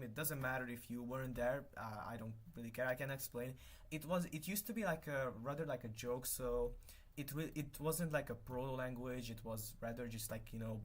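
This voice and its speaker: Young guy, hungarian first language, streaming and rambling, lots of ums, mid voice, keyboard clacking behind. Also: mid-range voice. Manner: rambling, self-correcting, many fillers. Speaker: livestreamer. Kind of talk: casual live stream chat while working.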